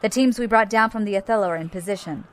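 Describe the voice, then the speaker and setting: A woman's voice: mid-range voice, fast talker, natural voice. A woman playing a cool, wry, cunning rebel captain in an audio drama, matter-of-fact in delivery. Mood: focused, poised.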